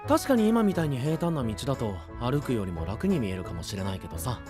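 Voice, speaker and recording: Male actor for easygoing anime drama traveler. Young knight, casual, boyish, widely swinging pitch, music behind. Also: big pitch swings, fast, close to an everyday voice. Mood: defensive. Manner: casual, breezy boyish speech. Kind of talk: anime audio drama acting.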